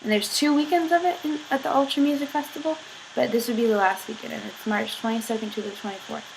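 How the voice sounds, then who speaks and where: A young woman rambling in a casual vlog, filling every pause with "like". She is sick, and her voice sounds a little husky. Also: a little high, background noise. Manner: chatty, filler-heavy, giggly, says "like" constantly. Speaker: vlogger.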